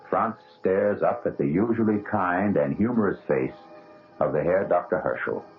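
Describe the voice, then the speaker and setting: A man narrating a radio drama in a portentous, measured, scripted style. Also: low-pitched, gravelly. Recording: muffled vintage radio, faint music behind.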